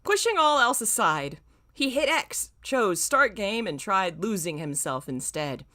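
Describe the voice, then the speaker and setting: A woman's voice: mid-range voice, sing-song, a little slow, bright, forceful tone. A woman hosting an online reading of fan fiction, narrating dramatically and breaking off with casual, self-mocking comments. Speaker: YouTube host reading fan fiction aloud.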